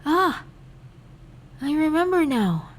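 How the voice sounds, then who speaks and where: A woman voicing a shy, gentle young woman in a fan story reading who talks softly and stammers. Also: sits high, sing-song, takes it slowly, pitched above the performer's own voice, heavily acted. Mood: bright. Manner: soft, hesitant, stammering.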